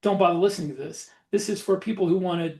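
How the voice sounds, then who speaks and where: A man's voice: high-pitched, quick pace, darkish tone. A man co-hosting a podcast in casual chat, with a blunt, matter-of-fact way of talking. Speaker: podcast co-host and author.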